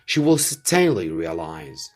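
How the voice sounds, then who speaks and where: A man voicing an anxious, doubtful gentleman in a storybook reading, agitated and questioning. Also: medium pitch, sing-song, a little slow, faint music behind, pitched above the performer's own voice. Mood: protesting, worried. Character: anxious, doubtful gentleman reluctantly posing as king.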